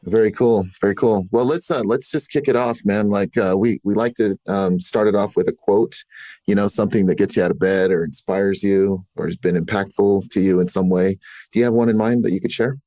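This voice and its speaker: A man hosting a podcast, chatting in a relaxed way, full of "you know" and fillers. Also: on the low side, a little fast, no room sound. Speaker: podcast host. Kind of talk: casual interview chat.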